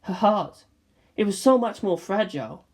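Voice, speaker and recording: Expressive woman narrating a dramatic story. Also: medium pitch, normal speed. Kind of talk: dramatic scripted narration of fan fiction.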